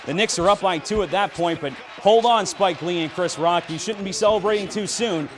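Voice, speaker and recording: A man's voice: sits high, normal speed, noisy background. A young adult man working as a sports announcer and anchor, delivering commentary and news.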